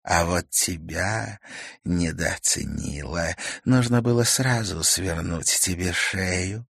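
A man voicing a scheming witch stepmother who speaks hoarsely, slowly and with cold sweetness. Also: low voice, fairly bright tone, no room sound, clean studio sound, exaggerated voice. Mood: scornful. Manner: slow, gloating, coldly sweet.

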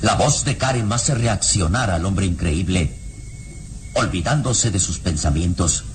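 A man narrating a vintage radio serial in a solemn, deliberate, theatrical style. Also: low-pitched, expressive, slight background noise. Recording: old radio serial with loud music bed.

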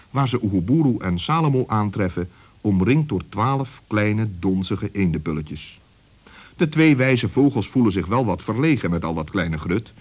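A man narrating a scripted children's story as storyteller, in a vivid, expressive and dramatic way. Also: on the low side.

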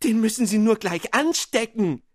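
Mann spielt aufgeregte junge magd, schrill, hoch, trocken. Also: male voice, high-pitched, expressive, moderate pace, no echo, studio quality, pitched above the performer's own voice. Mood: excited. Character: excited young maid, a peasant servant girl.

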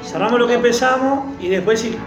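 A man's voice: extremely high pitch, brisk, roomy sound, music in the background. A man with a Rioplatense Spanish accent, explaining his view at length in a Bible study group and thinking aloud.

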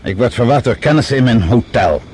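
A man's voice: mid-range voice, expressive, brisk, a little mellow, slight background noise, natural voice. An actor playing a shrewd, stubborn middle-aged man with a dry, authoritative, sardonic manner in a radio play. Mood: indignant, stubborn. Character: shrewd, self-assured father-in-law, dry and stubborn.

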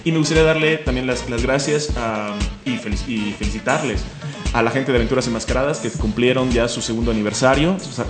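A man's voice: medium pitch, echoey room. A man with a Mexican accent hosting a comic radio show, joking and enthusiastic. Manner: fast, joking, enthusiastic, laughs often. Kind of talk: casual radio chat.

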